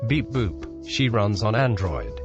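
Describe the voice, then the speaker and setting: A man playing a deadpan robot sidekick, low and very unhurried. The voice is laconic and dryly consoling, in a dull lo-fi recording of a comic space serial. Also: music in the background. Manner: deadpan, slow, says robot beeps as words. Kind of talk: comic sci-fi radio serial acting.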